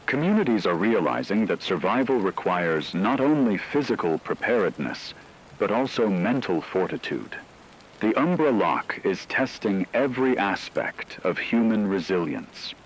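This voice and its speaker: A man performs a grave male announcer for a horror radio drama, with a very low-pitched voice. He delivers the scripted report in a solemn, measured, ominous manner, over clearly noisy rain-like ambience. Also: very animated. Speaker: radio emergency broadcast announcer.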